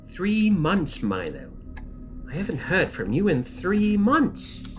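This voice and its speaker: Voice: mid-range voice, sing-song, slow pace, faint music behind. An actor playing a contemptuous, shadowy knight in armor in an audio drama, speaking with scorn and deliberation. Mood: cold contempt.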